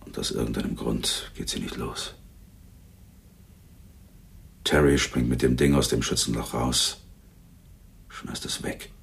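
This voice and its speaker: An actor playing a menacing, boastful gangster with a gravelly husky voice, talking tough street slang in a radio crime drama. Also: male voice, very deep voice, normal speed, heavily acted. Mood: grim, low. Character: menacing, boastful male gangster, war veteran with grudge.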